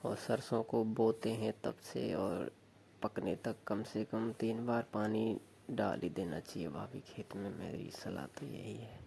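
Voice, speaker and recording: A man playing a village lover coaxing his sister-in-law on the phone, with a Rajasthani accent and a slow, suggestive way of talking. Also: fairly low pitch, steady tone, vocal fry, dark tone. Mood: earnest, suggestive. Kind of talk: staged phone call, casual flirtatious chat.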